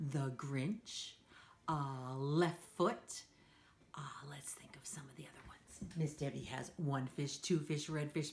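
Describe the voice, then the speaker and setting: A woman's voice: sits low, slight background noise. A woman hosting a read-aloud storytime for young children, speaking in a playful, encouraging way. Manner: animated, encouraging, asks listeners to join in; playful, acts out sound effects.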